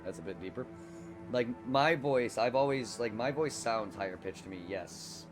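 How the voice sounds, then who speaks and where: A man streaming a game, chatting casually in a quiet voice, rambling and correcting himself as he goes. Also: mid-range voice, normal speed, over music. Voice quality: quiet normal voice. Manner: rambling, self-correcting, laughs easily. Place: home streaming setup.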